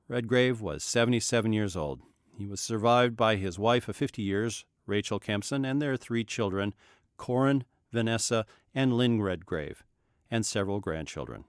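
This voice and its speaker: Guy announcer reading documentary script, lowish voice, measured, no room echo at all. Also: studio quality. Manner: measured, factual announcer delivery.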